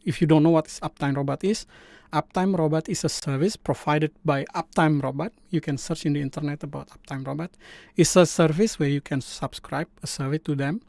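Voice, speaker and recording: Indonesian guy hosting a coding stream, kinda high voice, lots of ums and restarts. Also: fairly even intonation, gravelly, a little mellow, no room sound. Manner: halting, many fillers and restarts. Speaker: live stream host. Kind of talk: casual coding stream chat.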